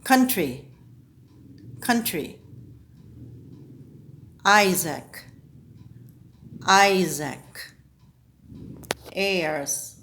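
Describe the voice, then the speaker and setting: A woman teaching scripture readers, reading a liturgical text slowly and deliberately, pausing at each phrase. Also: lowish voice, a bit bright, reverberant. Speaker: lector trainer. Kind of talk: slow, measured scripture reading and pronunciation drill.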